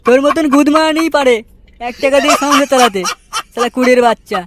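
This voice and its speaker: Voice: sits high, brisk, background noise, lightly acted. A performer voicing an abusive, scornful villager in a Bengali comedy cartoon, with a crude taunting manner.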